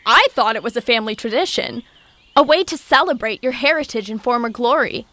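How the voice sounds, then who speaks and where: A woman playing a high-voiced, sensible courtier. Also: expressive, a little fast, bright, forceful tone, no room sound. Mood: incredulous. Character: sensible, put-upon young woman at a royal court. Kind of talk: stage-style comic audio drama acting.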